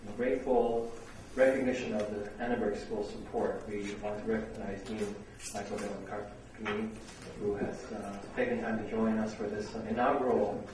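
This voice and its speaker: A middle-aged man hosts a university seminar, pausing often on ums and uhs. He is heard from a distance in a very echoey room. A steady hiss of noise sits underneath. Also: on the low side. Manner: hesitant, full of fillers. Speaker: seminar host, vice dean. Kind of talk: opening remarks at a university seminar. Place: lecture room.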